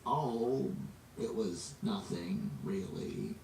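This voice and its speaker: A man's voice: fairly low pitch, drawn-out words, vocal fry, dark tone, pitched below the performer's own voice, exaggerated voice. A man voicing a cheerful, modest funny man from a children's storybook in a mild, understated way. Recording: roomy, distant, noisy amateur recording.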